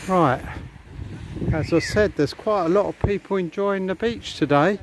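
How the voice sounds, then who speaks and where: Guy rambling on a beach walk, slow and a bit high, faint outdoor hiss on the camera mic. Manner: rambling, chatty, addresses viewers. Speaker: vlogger. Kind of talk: casual walking vlog. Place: outdoors on a beach and hotel paths. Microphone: handheld camera.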